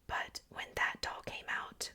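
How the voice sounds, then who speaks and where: A woman speaking in a breathy, unvoiced whisper in a casual ASMR show-and-tell style, hushed and informal. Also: unhurried. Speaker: ASMR video creator.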